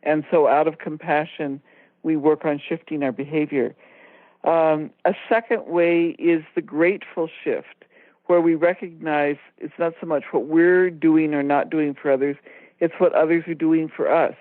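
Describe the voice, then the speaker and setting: A woman co-hosting a radio talk show, explaining her points in a measured, orderly way. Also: low-pitched, steady tone, dry recording. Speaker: co-host and author. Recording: dry tinny phone line.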